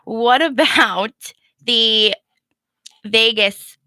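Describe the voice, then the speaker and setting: A woman's voice: fairly high pitch, very slow, bright, forceful tone, dry recording, poor audio. A young woman hosting a casual sports chat, teasing her guest in a playful way. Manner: teasing, playful, laughs often. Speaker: host.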